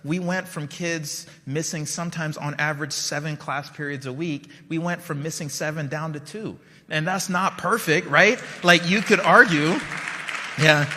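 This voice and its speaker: A man's voice: fairly high pitch, a little slow, sharp tone, big-room sound, a faint crowd. A man in his forties who grew up in Liberia, giving a conversational keynote speech and often checking in with his audience. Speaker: keynote speaker. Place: large conference hall.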